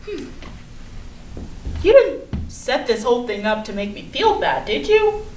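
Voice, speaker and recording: Anxious, apologetic schoolgirl played by a woman, very high animated voice, staged reading in a roomy space. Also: measured pace. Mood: uncertain, probing. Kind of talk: staged play reading, teen dialogue. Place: indoor room at a staged reading. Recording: roomy, distant mic, faint noise.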